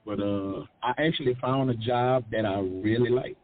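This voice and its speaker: A man's voice: medium pitch, moderate pace, a little hiss or hum. A middle-aged man chatting casually as a talk show panelist, playful and slangy, repeating phrases for emphasis. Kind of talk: casual chat. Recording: muffled, narrow phone-like audio.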